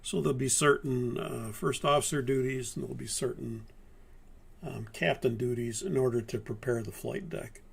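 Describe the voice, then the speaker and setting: A man teaching a live-streamed airline pilot course in a relaxed, methodical way, hoarse from a lingering virus. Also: mid-range voice, moderate pace. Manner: methodical, conversational, many fillers and restarts. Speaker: flight instructor. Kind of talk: live-streamed training talk.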